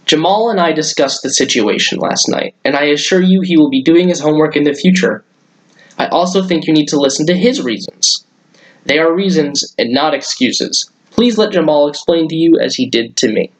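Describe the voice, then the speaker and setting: A concerned mother in a children's storybook as a man reads her. She stands by her schoolboy son. The voice is slightly high for a man. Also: normal speed, lightly acted, poor audio. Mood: firm.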